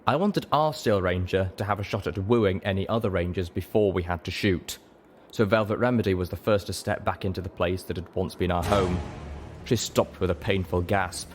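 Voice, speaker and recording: A man reading a dramatic story as an audiobook narrator, measured, solemn and emotive. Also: sits low, a little hiss or hum. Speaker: audiobook reader.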